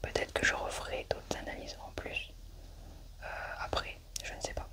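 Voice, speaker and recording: A woman doing a soft, hushed ASMR medical roleplay, narrating her own actions unhurriedly as an examiner. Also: normal speed.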